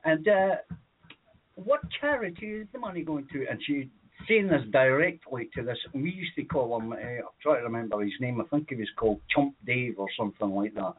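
A man's voice: medium pitch, expressive, brisk, breathy edge. A Scottish man co-hosting a comedy radio show, telling long rambling childhood stories with lots of fillers and chuckles. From Scotland. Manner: rambling anecdotes with many fillers, laughs at own stories. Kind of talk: comedic storytelling on a podcast. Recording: tinny phone-like audio.

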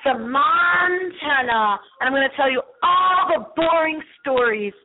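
A woman's voice: high-pitched, lively intonation, unhurried. A woman hosting a late-night spooky internet radio show, chatting live in a rambling, campy, theatrical way. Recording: tinny, phone-like internet radio line.

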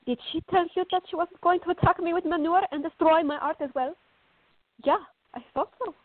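A woman's voice: extremely high pitch, fairly even intonation, fairly quick, no room sound. A woman acting a fiery, stubborn, sarcastic teenage girl in a radio drama. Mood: indignant. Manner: headstrong, sarcastic. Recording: muffled, phone-like narrow-band audio.

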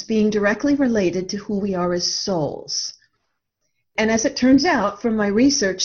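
A woman's voice: mid-range voice, darkish tone, lo-fi sound. A woman speaking as an author being interviewed, reflective and earnest, telling her story and voicing her own thoughts. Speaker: author and radio drama writer, interview guest.

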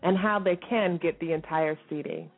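A woman's voice: on the low side, gravelly. A middle-aged woman hosting a music talk show, chatty and playful. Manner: playful, chatty, quick to laugh. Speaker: radio show host. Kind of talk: casual talk-show chat. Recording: tinny phone-line sound.

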